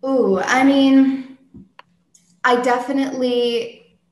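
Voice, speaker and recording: Soft soprano woman talking about her music, slow and careful, echoey room. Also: high voice, level tone. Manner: soft-spoken, thoughtful, many ums and restarts. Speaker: singer-songwriter guest. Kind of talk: casual interview answers.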